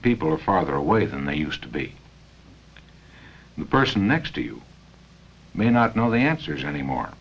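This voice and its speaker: An American man reading scripted narration for a 1970 company training film, with measured, deliberate phrasing and pauses. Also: very deep voice, sing-song, vocal fry, slightly rough. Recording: dull 1970 film soundtrack.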